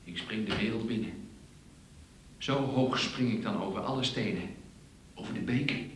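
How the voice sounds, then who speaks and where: A man's voice: lowish voice, moderate pace, lightly acted. A man playing a restless, scornful, conniving accomplice in a radio play, with a sardonic, exclamatory delivery. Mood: raving. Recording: echoey, distant, faintly hissy radio play.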